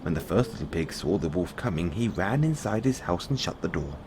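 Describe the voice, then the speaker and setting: Male storyteller: low voice, expressive swings in pitch, measured bedtime fairy tale reading, noisy background.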